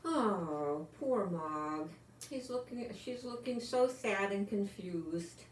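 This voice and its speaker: An older woman reads a children's storybook at a leisurely pace. She is expressive and speaks directly to young listeners with gentle asides. The room is echoey, with some noise behind her. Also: medium pitch, takes it slowly. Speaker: storyteller grandmother reading a picture book.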